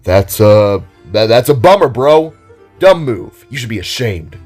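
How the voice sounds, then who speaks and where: A man's voice: medium pitch, sing-song, measured pace, darkish tone, soft background music. A man voicing a playful, cocky young guy in an audio drama, teasing in slang like a big brother. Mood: awkward. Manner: slangy, teasing, stammers when caught out.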